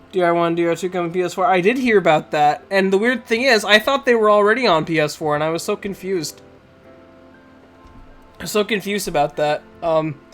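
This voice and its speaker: A streamer with a nasal, cold-stuffed voice, rambling casually to the chat while playing, with frequent laughs. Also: medium pitch. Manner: rambling, chatty, laughs often, talks to the chat. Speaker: Twitch streamer playing a video game.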